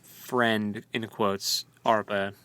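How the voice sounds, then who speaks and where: A man's voice: lowish voice, little pitch movement, drawn-out words, a bit bright. A man co-hosting a casual book discussion, speculating in loose, colloquial language. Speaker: co-host of a book read-along podcast.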